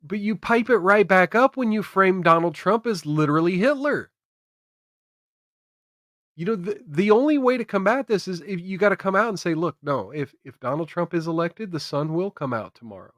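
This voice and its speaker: A man's voice: sits high, lively intonation, moderate pace, a bit bright, no echo, studio quality. An American man hosting a political commentary stream, speaking emphatically and repeating phrases for effect. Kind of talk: opinionated political commentary to camera.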